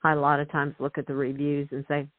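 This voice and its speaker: A woman's voice: deep voice, completely flat delivery, fast talker, no room sound. A woman hosting a radio talk show, effusive and enthusiastic. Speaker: host. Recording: tinny phone line.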